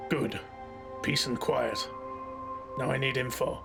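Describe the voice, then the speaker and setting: An actor playing a hard-boiled, impatient police marshal in a noir audio drama, gruff and clipped with dry wit and a gravelly voice. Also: male voice, medium pitch, fairly even intonation, takes it slowly, over loud music. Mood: menacing, curt. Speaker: narrator and lead character.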